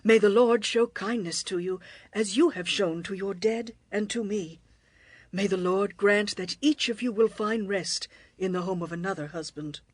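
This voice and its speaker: Casting: actress as bitter older widow in dramatized scripture, husky, pleading delivery, dry close recording. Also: female voice, mid-range voice, moderate pace, no echo, close to an everyday voice. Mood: tender, sorrowful. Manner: sorrowful, pleading. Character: bitter, grieving older widowed mother-in-law. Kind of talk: dramatized audio Bible acting.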